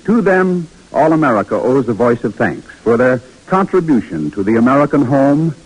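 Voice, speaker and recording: A man giving a formal, measured scripted narration as the announcer of an old radio program. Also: mid-range voice, sing-song, husky, low-quality recording.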